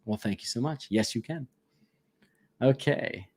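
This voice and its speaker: A man in a relaxed livestream, reading viewer comments aloud and answering them in an easygoing, chatty way. He laughs often, and his voice sits in an ordinary middle pitch for a man. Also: a little slow. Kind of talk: casual live Q&A chat.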